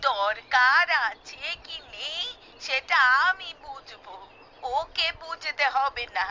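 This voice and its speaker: A woman playing a sharp-tongued, disdainful old village mother in a comic cartoon, nagging in drawn-out scolds. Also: sits high, lively intonation, heavily acted. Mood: scolding. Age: older. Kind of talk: Bengali comic cartoon acting. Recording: dull lo-fi track, music behind.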